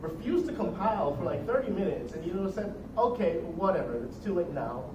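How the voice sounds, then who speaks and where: A man's voice: high voice, animated, fairly quick. A young man giving a casual, rambling, jokey student demo of his project. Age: young adult. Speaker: student presenter. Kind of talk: informal project demo presentation. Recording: echoey noisy room, distant microphone.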